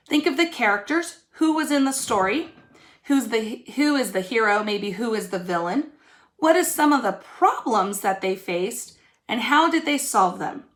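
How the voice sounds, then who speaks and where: A woman's voice: a little high, expressive, normal speed, a bit bright. A woman teacher giving young pupils an informal video lesson, explaining step by step and addressing them directly. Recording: echoey room.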